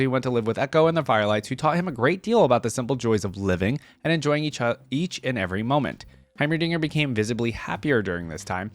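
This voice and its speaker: A man's voice: mid-range voice, no echo. A man reading an article aloud for a reaction video, adding casual conversational asides as he goes.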